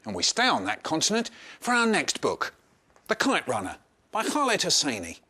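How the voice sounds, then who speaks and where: A man hosting a book discussion, his pitch moving a lot as he introduces books and asks questions. He speaks in a lively, engaged way. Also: moderate pace, slightly rough. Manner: lively, poses questions to panelists by name. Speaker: programme host. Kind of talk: presenting and chairing a book panel.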